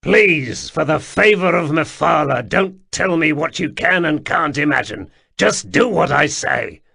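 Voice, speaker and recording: A man's voice: mid-range voice, expressive, measured pace, vocal fry, fairly bright tone, husky, heavily acted. A man voicing a bitter, hardened warrior who speaks in a curt, scornful way.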